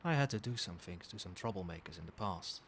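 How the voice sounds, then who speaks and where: A man playing a doting yet controlling, quietly menacing boyfriend in a soft-spoken ASMR roleplay, coaxing tenderly with pet names. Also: low-pitched, fast, creaky. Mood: quietly threatening. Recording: steady background noise, night ambience. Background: rain and cricket sounds.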